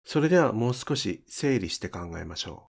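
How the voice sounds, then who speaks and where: A man's voice: on the low side, big pitch swings, moderate pace, vocal fry, darkish tone. A man giving scripted instructional narration as a pronunciation teacher, enunciating carefully step by step.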